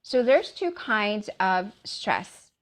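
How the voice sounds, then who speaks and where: A woman's voice: medium pitch, sing-song, very slow. A middle-aged woman from the Philippines giving a casual presentation with slides, with lots of "um" fillers. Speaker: wellness speaker.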